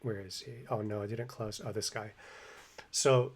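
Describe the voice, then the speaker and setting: American man, low voice, casual vlog ramble, lots of "like" and restarts. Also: fairly even intonation, moderate pace, breathy edge. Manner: rambling, many fillers and restarts. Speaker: language-learning vlogger. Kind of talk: casual explanatory monologue. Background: construction hammering and sawing overhead.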